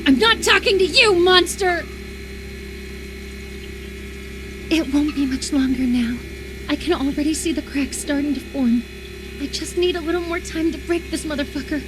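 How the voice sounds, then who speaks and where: A woman's voice: sits high, moderate pace, noisy background, close to an everyday voice. A woman voice-acting an unhinged, obsessive lover in a horror visual novel, intense and volatile, swinging between tenderness and menacing fury. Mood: menacing, impatient. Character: unhinged, obsessive lover convinced an impostor replaced their beloved.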